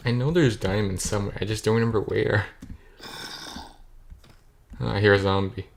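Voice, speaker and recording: A man's voice: lowish voice, fairly even intonation, normal speed, vocal fry, slight background noise. A young man rambling to himself as he plays, his voice cracking and a bit off. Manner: rambling, self-correcting, talks to himself. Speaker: gamer streaming a play session. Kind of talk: casual thinking-aloud gameplay commentary.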